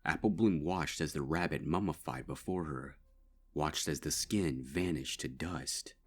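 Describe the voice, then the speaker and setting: Very deep-voiced male narrator. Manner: measured, grave storytelling. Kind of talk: dark fanfic audiobook narration.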